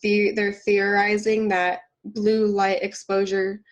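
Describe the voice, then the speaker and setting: A woman's voice: medium pitch, little pitch movement, slow, lo-fi sound. A woman with a congested cold voice co-hosting a nutrition podcast in casual chat, laughing often and using lots of fillers. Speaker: podcast co-host.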